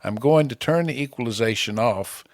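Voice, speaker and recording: A man presenting a scripted amateur radio tutorial in plain, measured short statements, close on a broadcast microphone. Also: medium pitch, no room sound. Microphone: close broadcast podcast microphone.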